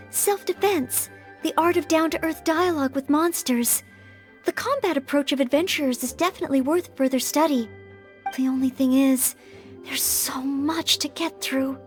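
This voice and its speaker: A woman's voice: high-pitched, natural voice. A woman voicing an earnest, anxious, polite young maid in a video game, studious and fretful in manner. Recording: background music behind voice.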